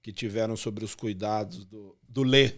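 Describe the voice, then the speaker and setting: A man's voice: on the low side, steady tone, moderate pace, fairly bright tone. A man in his fifties from Brazil, a personal trainer and pastor, chatting casually as a podcast guest and peppering his talk with slang. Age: 50s.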